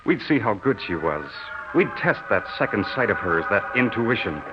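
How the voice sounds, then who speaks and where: An actor playing an earnest, lovestruck young soldier home from war, in theatrical old-time radio drama style. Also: male voice, lowish voice, very animated, lo-fi sound, loud music behind the voice. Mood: nostalgic, expectant. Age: young adult. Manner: theatrical, drawn-out, dramatic delivery. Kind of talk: old-time radio drama acting, narrated first person. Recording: noisy, band-limited old radio recording.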